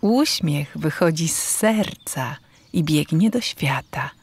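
Gentle, expressive village mother. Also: low voice, takes it slowly, husky, heavily acted. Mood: tender. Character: gentle, loving village mother, proud of her husband. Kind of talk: children's radio play in rhymed dialogue.

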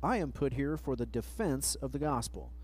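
A middle-aged man preaching a sermon at a church pulpit mic, reading scripture slowly and letting phrase ends trail off. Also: medium pitch, lively intonation, darkish tone, slightly rough. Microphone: pulpit microphone, far camera.

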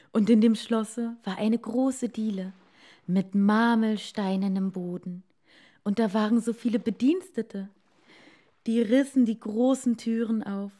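Unhurried, mellow woman reading a fairy tale. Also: medium pitch. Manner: expressive, deliberate storytelling for children. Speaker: storyteller. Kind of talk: scripted fairy-tale narration.

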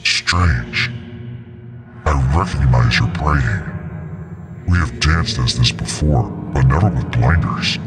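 An extremely low, menacing demon lord for a comic book dub. He is haughty and sardonic, drawing out his taunts with theatrical relish. Loud music plays beneath him. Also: slow pace, long pauses, heavily acted. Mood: mocking, amused.

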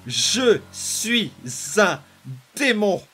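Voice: mid-range voice, very animated, drawn-out words, a bit bright, full character voice. Unhinged demon boy played by an actor, comic dub: domineering, taunting, theatrical, music underneath. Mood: menacing. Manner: menacing, taunting, theatrical outbursts and mutters. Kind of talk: dramatic comic fan-dub acting.

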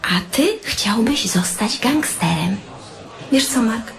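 A woman's voice: a little high, animated, slow, a crowd in the background. A woman playing a practical, loving but exasperated partner in a radio drama, chiding and patient. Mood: sardonic. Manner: chiding, patient, sometimes breathy half-whispers. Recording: clearly noisy background.

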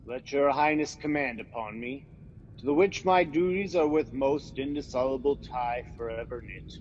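A man's voice: medium pitch, normal speed, darkish tone, big-room sound, lo-fi sound, slight background noise, lightly acted. A man acting as a wary, loyal nobleman in an amateur radio drama, speaking verse earnestly and broodingly.

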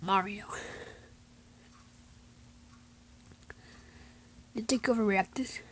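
A woman voicing a calmer, puzzled companion who scolds a friend in an improvised comic skit, halting and questioning. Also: mid-range voice, slight background noise, close to an everyday voice. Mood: subdued reproach.

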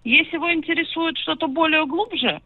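A woman's voice: high voice, a little fast. A woman schoolteacher on a phone call, speaking politely in a guarded, repetitive, matter-of-fact way. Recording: narrow tinny phone line.

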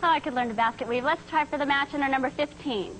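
A woman in her twenties from the United States, a soft-spoken game show contestant on a studio stage. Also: high voice, fast, poor audio. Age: 20s. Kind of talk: casual game play.

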